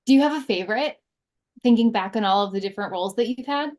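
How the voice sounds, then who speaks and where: A woman's voice: medium pitch, quick pace, darkish tone. A woman speaking as the host of a conversational interview.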